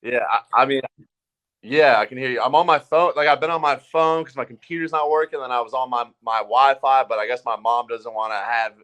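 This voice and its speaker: A man's voice: mid-range voice, a little fast, fairly bright tone, rough recording. A man chatting casually as a podcast guest, telling things in a rambling, anecdotal way. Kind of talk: casual chat.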